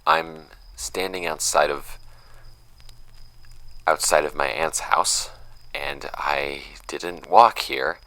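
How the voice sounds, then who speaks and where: Fed-up sarcastic investigator, super deep but bright voice, faint music behind. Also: male voice, expressive, slow pace, vocal fry, long pauses, exaggerated voice, rough recording. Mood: shaken. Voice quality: sarcastic, incredulous. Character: sarcastic young male investigator, exasperated. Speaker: interviewer. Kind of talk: audio drama acting.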